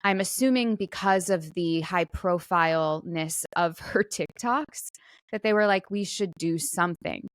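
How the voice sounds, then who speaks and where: A woman's voice: fairly low pitch, measured pace, no room sound. A woman co-hosting a casual talk show, telling stories conversationally and acting out quotes as she goes. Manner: conversational storytelling with quoted asides. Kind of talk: casual chat, retelling a viral story.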